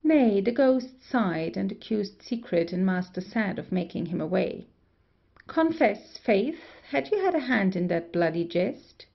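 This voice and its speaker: A woman playing a wry, mischievous gentlewoman in an old comedy, with a creaky voice and teasing manner. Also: medium pitch, expressive, unhurried, muffled recording. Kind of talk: Restoration comedy audio play acting.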